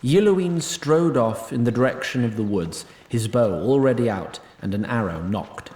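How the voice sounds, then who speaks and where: A roomy-sounding actor as a male storyteller narrates a fantasy audio drama. He is formal, precise and dryly pedantic, with pitch that swings widely for emphasis. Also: expressive, moderate pace, a little mellow, natural voice. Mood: wry, formal. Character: wry, pedantic, faintly exasperated storyteller of a fantasy tale.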